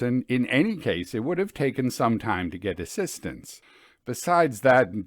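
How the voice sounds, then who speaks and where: A man reading a literary ghost story aloud in a measured, deliberate storytelling manner, with dramatic pauses. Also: mid-range voice, no echo, clean studio sound. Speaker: audiobook story reader.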